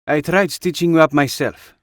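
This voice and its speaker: A man voicing a brisk, teasing, exasperated older woman in a narrated story. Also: on the high side, steady tone, no echo, clean studio sound, pitched above the performer's own voice, close to an everyday voice. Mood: apologetic.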